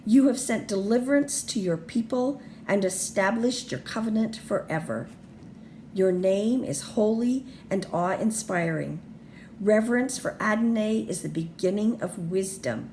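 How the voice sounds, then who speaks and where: Slow-paced woman reading scripture. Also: medium pitch, animated, a little mellow, slight background noise. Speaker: scripture reader.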